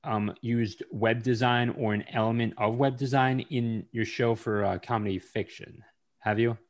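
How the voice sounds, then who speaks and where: A man's voice: mid-range voice, steady tone, moderate pace. A man hosting a podcast interview, hesitant, with many fillers and restarts. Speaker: podcast host. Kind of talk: casual interview.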